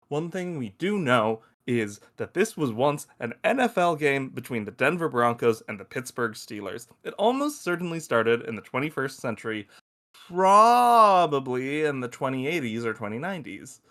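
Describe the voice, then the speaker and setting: A man playing a crude, teasing space probe who loves football and shows off as he explains, in a table-read audio drama. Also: mid-range voice. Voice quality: animated, swooping delivery. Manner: foul-mouthed, teasing, showy explainer.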